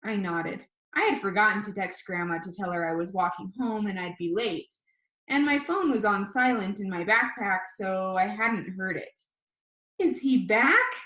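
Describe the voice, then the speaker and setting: A woman reading a children's novel aloud like a teacher, in an informal, expressive way. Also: mid-range voice, normal speed. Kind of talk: storybook narration read aloud. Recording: echoey room.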